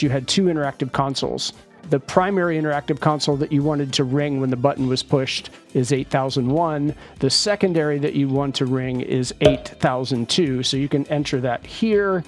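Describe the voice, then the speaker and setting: A man with a somewhat higher-than-average voice narrates a software training tutorial. He explains each step methodically, as an instructor would. Faint music sits behind his voice.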